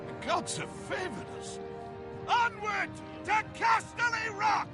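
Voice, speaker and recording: An actor playing a sceptical, needling medieval soldier with a hearty, sardonic manner in a fantasy game. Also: male voice, very high voice, sing-song, slow, loud music behind the voice, heavily acted. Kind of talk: video game fantasy acting.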